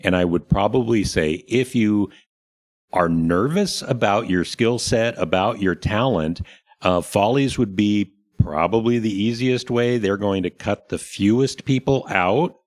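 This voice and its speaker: A man's voice: on the low side, measured pace. A man hosting a casual talk show about local happenings, speaking in a plain-spoken, encouraging way. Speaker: radio show host. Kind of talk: casual theater chat.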